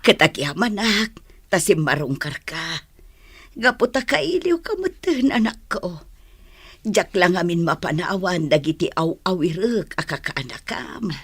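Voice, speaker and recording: A woman with an Ilocano accent playing an affectionate older village mother in a radio drama, doting and expressive. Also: on the low side, a little slow, breathy edge, dry recording, clean studio sound. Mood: fond, wistful.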